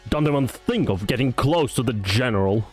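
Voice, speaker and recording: A man's voice: medium pitch, animated, normal speed, darkish tone, natural voice. A man acting a loyal, combative military guard captain in a game fan dub, firm and soldierly. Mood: defiant. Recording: background music under the voice.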